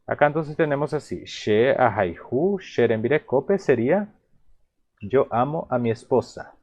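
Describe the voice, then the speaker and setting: A man from Paraguay with a Paraguayan Spanish accent and a Guarani mother tongue, teaching phrases in a relaxed, halting way with many repeats and restarts. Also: medium pitch, lo-fi sound. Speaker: language teacher. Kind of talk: explaining vocabulary in a video lesson.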